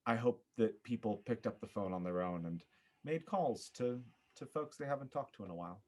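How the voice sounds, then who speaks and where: A man speaking as an interview guest, reflective and earnest, thinking aloud with halting, repeated phrases. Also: mid-range voice, animated, darkish tone. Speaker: guest, theater director.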